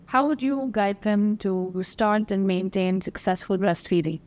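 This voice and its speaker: A woman hosting a podcast, reading her introduction and questions in a smooth, clear way. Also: mid-range voice, measured pace, darkish tone. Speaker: podcast host. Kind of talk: scripted interview questions.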